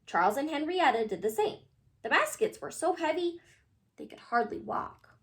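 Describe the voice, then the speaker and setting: A woman reading a children's picture book aloud in an animated, expressive way, addressing young listeners. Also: high voice, normal speed.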